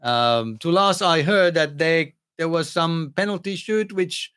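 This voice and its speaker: Man, accented English, fairly high voice, casual stream host, halting with lots of ums. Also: fairly bright tone, clean tone. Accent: non-native English accent. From lives in Australia, not born there. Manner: rambling, many ums and restarts. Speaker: music channel host answering chat questions. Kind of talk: casual livestream Q&A.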